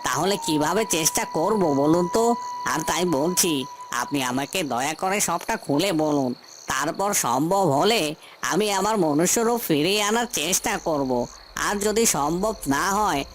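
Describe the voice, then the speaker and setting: Man acting an earnest, higher-voiced cursed prince. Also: lively intonation, moderate pace, slight background noise, lightly acted. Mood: pleading, determined. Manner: earnest, pleading, respectful. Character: earnest, pleading young prince cursed out of human form. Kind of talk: dubbed cartoon fairy-tale acting.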